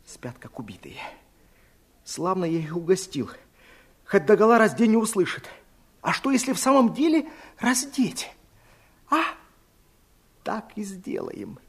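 A loyal young gardener from a fairy-tale radio play. The voice is husky and mid-pitched, brisk and cheeky, with a reassuring, theatrical delivery. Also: sing-song, full character voice. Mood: sly amusement. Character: resourceful, loyal young gardener rescuing princesses.

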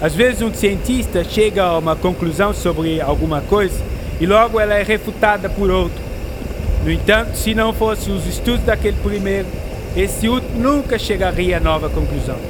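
Man acting high-voiced, enthusiastic writer Jules Verne. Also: a little fast, noisy background, lightly acted. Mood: earnest, explanatory. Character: Jules Verne, proud, curious writer enthusiastic about science. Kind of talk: educational radio drama acting. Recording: music behind a studio radio drama.

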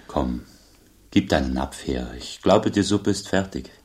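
A kind, naive herd lad in a radio play. His voice is strikingly deep and very husky, and he speaks slowly in simple, gently questioning phrases. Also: lively intonation, full character voice. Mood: caring. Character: kind, naive young village herd boy. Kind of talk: radio drama dialogue.

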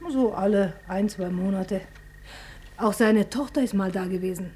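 A woman acting hospitable female witness, medium pitch, chatty, colloquial, fond and earnest, fifties radio play. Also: normal speed, dark tone, husky, lightly acted. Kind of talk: 1950s German radio drama acting.

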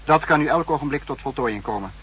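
Calculating, authoritative spymaster. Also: male voice, mid-range voice, lively intonation, fast, a bit bright, slightly rough. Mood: pondering, grave. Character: calculating, authoritative male spymaster, head of secret service. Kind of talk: 1960s Dutch radio drama acting. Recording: faintly noisy 1960s radio recording.

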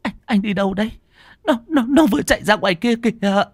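Guy doing a high shaky scared young wife, stammers, dry close sound. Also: big pitch swings, unhurried, fairly bright tone, dry recording, full character voice. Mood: panicked. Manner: stammering, panicked.

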